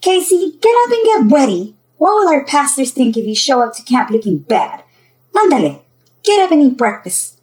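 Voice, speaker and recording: A bustling mom in a read-aloud story, as a woman narrator plays her, pitched well up. She nags and exclaims, dropping Spanish into her English. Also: fairly quick, warm tone, lightly acted. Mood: urgent scolding. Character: bustling, scolding Mexican-American mother of a teenage girl.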